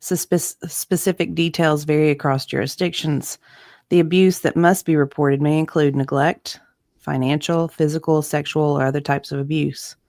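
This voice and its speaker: A woman's voice: sits low, fairly even intonation, normal speed, a little mellow, no echo, clean studio sound. A woman, chatting casually and reading aloud as co-host of an online show. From Southern United States. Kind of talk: casual chat with read-aloud passages.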